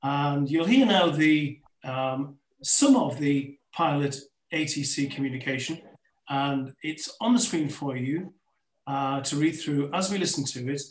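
Roomy-sounding man moderating a webinar. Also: medium pitch. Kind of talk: hosting an online panel discussion. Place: indoors on a video call. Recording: roomy, echoing webcam audio.

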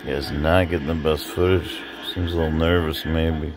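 A man's voice: a bass voice, little pitch movement, moderate pace. A young man from the Los Angeles area giving wry, casual commentary over videos, swearing and laughing now and then.

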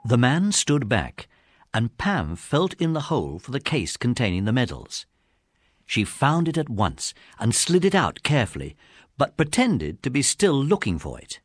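A man's voice: mid-range voice, wildly swooping pitch, a little slow, slightly rough, no echo, studio quality. A man reading a scripted children's adventure story as narrator.

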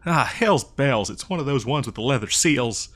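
A man's voice: mid-range voice, expressive, moderate pace, fairly bright tone. Guy doing a folksy old west drifter, exasperated n rambly, voice swings up and down, comedy audio roleplay. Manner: folksy, rambling, hesitant improvised delivery. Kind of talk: improvised comedic audio roleplay addressed to the listener.

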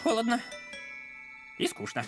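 A woman voicing a chatty, boastful, excitable little male bird in a cartoon, exclaiming as he chatters. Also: a little high, measured pace. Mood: bored, restless. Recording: music behind the voice.

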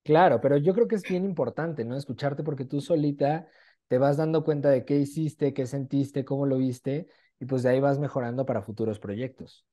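Mellow young Mexican man hosting. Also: mid-range voice, moderate pace. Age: 20s. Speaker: interviewer, host. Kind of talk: casual video interview. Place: small room at home, indoors. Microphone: video call with wired earphones.

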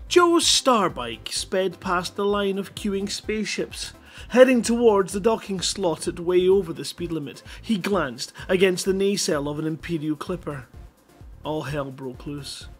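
Casting: male stream host, high voice, slow delivery, jokey story reader over light music. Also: husky. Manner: playful, jokey. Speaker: host of a live radio stream reading listeners' short stories. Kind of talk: live reading of short fiction with casual banter. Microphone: headset microphone.

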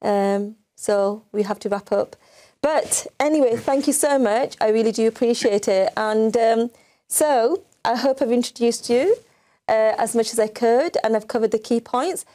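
A woman's voice: on the high side, normal speed, warm tone. A middle-aged woman presenting a live TV interview show, chatty and full of fillers. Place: TV studio.

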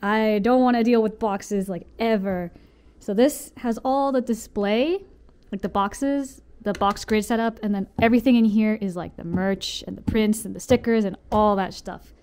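A woman's voice: medium pitch, normal speed, darkish tone, smooth. A young adult woman with a youthful voice, chatting informally and rambling through a casual vlog. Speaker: vlogger and convention artist.

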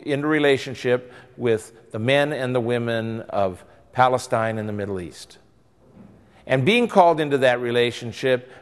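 A man's voice: mid-range voice, in a large hall. A man in his sixties, a bishop, earnestly exhorting his diocese in a pastoral address to camera in a church. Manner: earnest, exhorting, rising and falling emphasis. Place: church interior by stained glass windows.

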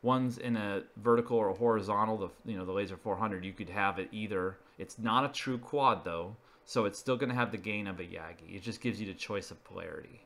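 A man's voice: fairly low pitch, a little fast, hardly any pauses, a bit bright. A man presenting an informal narrated slideshow, explaining each item in a matter-of-fact way. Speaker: video presenter.

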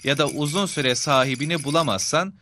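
A man's voice: a little high, fairly quick, sharp tone, soft background music. A man playing a kindly father in a children's cartoon, giving advice in an affectionate, instructive way. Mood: kindly advising. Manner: affectionate, didactic.

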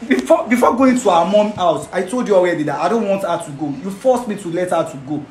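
A man's voice: high-pitched, animated, quick pace. A man playing a heartbroken young man, pleading. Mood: anxious. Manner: pleading, repeats himself, breaks into laughing sobs. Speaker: betrayed boyfriend. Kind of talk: amateur skit acting. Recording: roomy echo, faint noise.

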